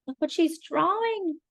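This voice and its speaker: A woman reading a children's picture book aloud with expressive, animated narration and quiet asides. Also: very high-pitched, slow, vocal fry. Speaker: storyteller.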